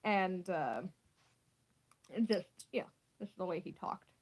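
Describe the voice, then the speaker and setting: A woman's voice: lowish voice, expressive, slow pace, slight background noise. A young woman from the west coast of Canada with a West Coast Canadian accent, chatting casually and laughing often, her sentences rising at the end. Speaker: host. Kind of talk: casual chat.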